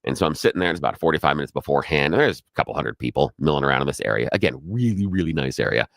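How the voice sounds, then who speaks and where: A man's voice: extremely low pitch, very fast, vocal fry. A middle-aged man co-hosting a radio talk show, telling stories in casual chat with a big, projecting voice and acting out the people he quotes. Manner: animated storyteller who acts out quoted voices. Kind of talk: casual on-air chat and storytelling.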